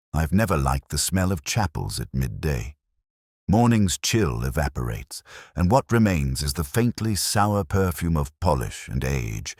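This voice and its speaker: A man reading first-person detective fiction in a measured, literary, brooding way, with a gravelly, husky voice. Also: extremely low pitch, no echo, clean studio sound. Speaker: narrator of a detective story. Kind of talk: first-person scripted mystery narration.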